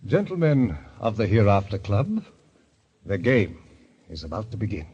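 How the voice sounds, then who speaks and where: An actor playing a suave, sinister middle-aged club president in old radio style, with pompous, florid, genteel phrasing. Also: male voice, fairly low pitch, very animated, normal speed, a little mellow, slight background noise. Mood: grandiose, solemn. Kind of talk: 1940s radio acting. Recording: dull, lo-fi vintage radio recording.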